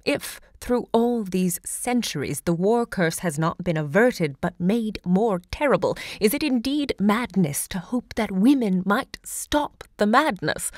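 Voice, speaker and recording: An actress playing a grand, impassioned woman who hosts a literary salon, looking back in deliberate, theatrical monologue. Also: medium pitch, expressive, a little mellow, no room sound, studio quality, close to an everyday voice. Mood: impassioned, defiant. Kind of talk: theatrical audio drama monologue.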